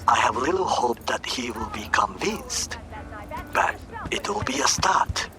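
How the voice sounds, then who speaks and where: An actor playing a grave, honourable corporate warrior in a video game, with a Japanese accent, a breathy hush and formal, deliberate phrasing. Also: male voice, medium pitch, very animated. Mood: grave, earnest. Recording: music behind the dialogue.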